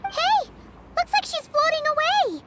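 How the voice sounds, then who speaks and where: A woman voicing a small, curious, excitable fairy companion in a video game, with a squeaky childlike voice and exclamatory manner. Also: measured pace, very noisy. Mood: excited. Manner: exclamatory, speaks of herself in third person. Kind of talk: video game character voice acting. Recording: loud music behind.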